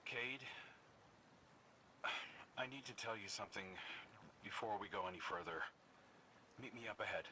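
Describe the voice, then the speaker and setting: Thoughtful comrade-in-arms for a game, low register, earnest and quietly confident, noisy capture. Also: male voice, moderate pace, pauses often. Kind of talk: video game voice acting.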